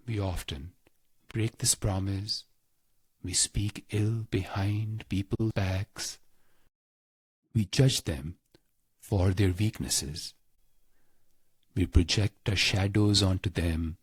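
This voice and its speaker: A man with a husky, breathy voice guides a scripted meditation in short, deliberate phrases, solemn and devotional. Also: low-pitched, dry recording. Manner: slow, deliberate, pausing between short phrases.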